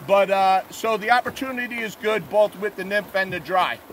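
A man with a fairly high voice talks in an easygoing, unpolished way, with plenty of "uh"s, as he reports on the fishing conditions. He speaks outdoors from a boat to a camera close by, and faint rushing river noise sits under his voice. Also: poor audio. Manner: relaxed, plainspoken, filler-heavy. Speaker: fishing guide. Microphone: camera mounted on the boat at arm's length. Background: rushing river water.

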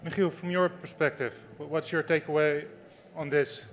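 A man moderates a seminar panel in a large indoor hall, holding a handheld mic. His voice is notably high for a man, and he moves things along briskly, affirming speakers as they talk. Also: fairly even intonation, a little hiss or hum.

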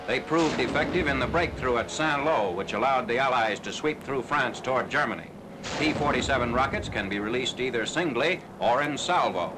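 A man's voice: medium pitch, expressive, moderate pace. A man reading a scripted 1940s military newsreel narration in a formal, factual manner. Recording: noisy 1940s newsreel soundtrack.

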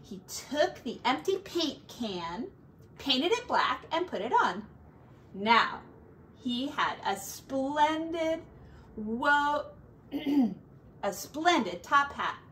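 A middle-aged woman reading a picture book aloud to children like a teacher, with deliberate, drawn-out words and little asides. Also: sits high, very animated, fairly bright tone, a little hiss or hum. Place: small furnished room at home.

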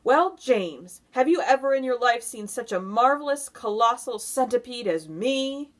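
A woman's voice: on the high side, animated, unhurried, voice pushed up. A woman voicing a boastful, gleeful male centipede in a children's storybook, crowing and teasing as he talks.

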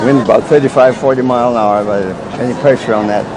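An older man who builds wind turbines, calmly explaining how they work to people at an outdoor fair, with plenty of uh's. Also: mid-range voice, fast. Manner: plain, matter-of-fact explaining with frequent uh. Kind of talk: explaining to fairgoers. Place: outdoor fairground. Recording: noisy outdoor camcorder audio.

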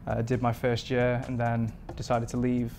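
A man in his thirties, a performance chef from England, answering interview questions in a relaxed, rambling way with many fillers, in a home kitchen. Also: medium pitch, moderate pace, faint music behind. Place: modern home kitchen indoors.

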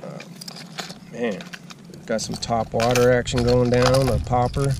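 A man's voice: mid-range voice, flat delivery, unhurried. A man in his forties unboxing fishing gear outdoors beside a boat, talking in a laid-back, enthusiastic way with plenty of fillers. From Arizona, USA. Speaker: fishing vlogger. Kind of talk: casual unboxing vlog. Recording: outdoor camera audio with background noise.

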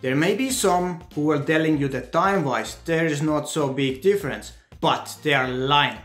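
A young man from Estonia with an Estonian accent, giving earnest, deliberate advice in a scripted vlog, talking with his hands. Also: fairly high pitch, a bit bright, roomy sound, soft background music. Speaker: vlogger.

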